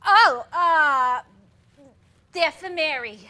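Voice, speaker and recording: An actress playing a weary, evasive young housewife in a theatrical comedy reading, hesitant and flustered. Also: female voice, sits high, expressive, drawn-out words, bright, forceful tone, full character voice. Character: weary, evasive young 1960s housewife, sweet but frazzled.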